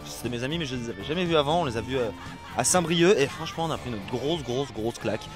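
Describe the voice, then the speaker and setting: A man of middling pitch eagerly recommends a band, restarting his sentences as he goes. Faint music sits behind him. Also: animated, fast. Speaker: band member. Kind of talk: casual festival interview.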